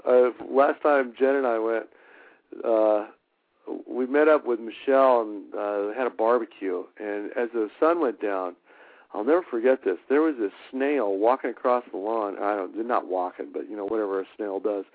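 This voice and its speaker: A man guesting on a radio show, talking casually and haltingly with many fillers. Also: on the low side, dry recording. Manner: halting, warm-hearted, many fillers and restarts. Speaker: musician guest. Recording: dry, tinny phone line.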